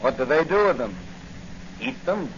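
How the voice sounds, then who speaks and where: Man plays assured crime-fighting patrolman, brisk and inquisitive, medium pitch, old dull-sounding radio serial. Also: very animated, lightly acted. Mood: easygoing, curious. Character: confident male patrolman and secret masked crime-fighter. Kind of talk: 1940s radio acting. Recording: dull, noisy 1940 radio recording.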